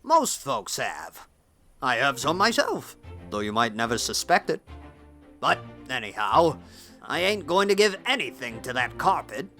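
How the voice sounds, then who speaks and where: An actor playing a cranky, outspoken older farmer in a storybook dramatization, grumbling in a blunt, folksy manner. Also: male voice, mid-range voice, sing-song, measured pace, pushed and bright, faint music behind, heavily acted. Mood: grudging. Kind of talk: audiobook character acting.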